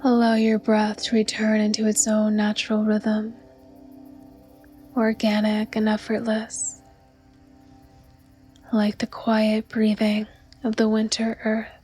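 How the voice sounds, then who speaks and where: Female meditation guide: breathy and soothing, very flat monotone melody, unhurried slow pacing. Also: mid-range voice, soft background music. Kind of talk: guided yoga nidra meditation narration.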